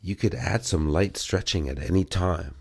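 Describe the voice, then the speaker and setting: A man giving guided meditation and self-massage instructions in a measured way, pausing between short phrases. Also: deep voice, creaky, husky, dry recording, clean studio sound.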